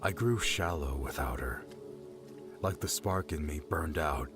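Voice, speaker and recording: A man plays a hot-headed young male adventurer in an audiobook. The voice is very low and swings widely in pitch, earnest and emotional, fiercely loyal and weighed down by grief. Music runs clearly underneath. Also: expressive, a little slow. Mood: hollow grief. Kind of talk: dramatic audiobook character acting.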